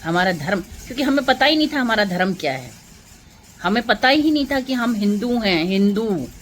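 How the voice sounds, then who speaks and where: A woman's voice: mid-range voice, lively intonation, moderate pace, a little hiss or hum. A woman vlogger delivering an emotional political monologue to viewers, impassioned and rhetorical, repeating phrases for emphasis.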